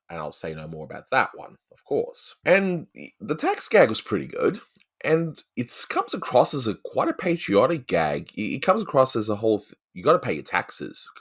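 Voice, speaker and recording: Deep-voiced Australian man chatting. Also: fairly bright tone. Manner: rambling, chatty, peppered with "you know". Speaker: host of an animation review show. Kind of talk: casual film review commentary.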